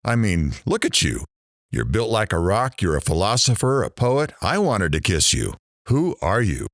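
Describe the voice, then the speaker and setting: A man's voice: on the low side, sing-song, moderate pace, dry recording, studio quality. A man playing a brash, sardonic middle-aged radio talk show host in an audio drama, with showy, theatrical patter. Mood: mocking, irreverent.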